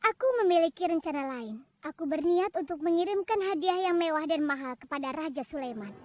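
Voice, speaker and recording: A woman voicing a proud, commanding young queen in an animated cartoon, speaking in an imperious, scolding manner. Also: sits high, fairly quick, close to an everyday voice. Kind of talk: cartoon voice acting. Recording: loud background music.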